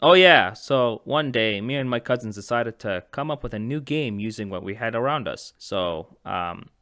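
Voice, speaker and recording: A man's voice: medium pitch, expressive, normal speed, a bit bright, clear, resonant tone, no room sound, clean studio sound. A man from Egypt narrating a comedic childhood story, with rambling joking asides. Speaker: animation channel host.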